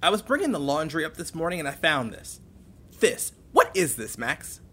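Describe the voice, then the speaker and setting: An actress playing a worried, protective guardian in an audio drama, speaking in a stern and earnest way. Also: female voice, sits low, big pitch swings, normal speed, sharp tone, slightly rough, heavily acted. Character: protective, worried adult guardian of a teenage girl. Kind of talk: naturalistic audio drama acting.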